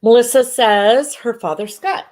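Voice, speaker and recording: A woman doing live psychic readings who sounds congested and talks in halting bursts, often restarting and correcting herself. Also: fairly high pitch, a little mellow. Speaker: psychic medium. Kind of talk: live-stream readings, casual chat.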